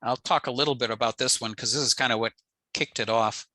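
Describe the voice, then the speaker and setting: A man's voice: medium pitch, steady tone, quick pace, bright, forceful tone, dry recording. An older American man giving an informal slide presentation in a matter-of-fact, explanatory way. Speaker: presenter, amateur radio operator. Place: indoors on a video call.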